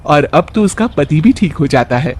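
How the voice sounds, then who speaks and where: A man's voice: on the high side, animated, moderate pace, a little mellow. A man narrating a scripted moral bedtime story as a storyteller.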